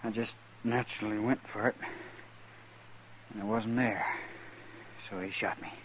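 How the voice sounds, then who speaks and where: An actor playing a regretful reformed outlaw, weak from a wound, with an American Western accent, in a radio western. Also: male voice, lowish voice, fairly even intonation, measured pace, natural voice. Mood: weary, regretful. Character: dying, regretful reformed male outlaw, plain-spoken. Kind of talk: old-time radio western acting. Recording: faintly noisy vintage radio recording.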